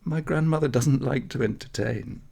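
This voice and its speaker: A man's voice: mid-range voice, moderate pace, vocal fry, dark tone, slightly rough, pitched above the performer's own voice. A man voicing a cheerful, evasive young village girl in a storybook reading, with light, coy answers. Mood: coy, innocent.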